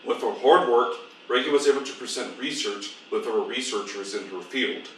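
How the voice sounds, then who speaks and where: A man's voice: medium pitch, normal speed, slight background noise, poor audio. A young man reading scripted narration for a news segment as a student reporter. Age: young adult.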